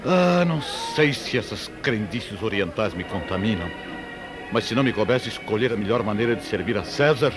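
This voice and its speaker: An actor playing a troubled, disdainful Roman governor, grave and commanding, in a religious drama. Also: male voice, fairly low pitch, animated, moderate pace, close to an everyday voice. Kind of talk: religious radio drama acting. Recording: music and crowd behind the voice.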